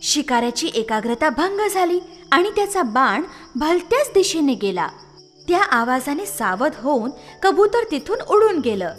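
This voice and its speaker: A woman narrating a scripted children's story as an expressive storyteller. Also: high voice, unhurried. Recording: long reverb, music behind. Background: music.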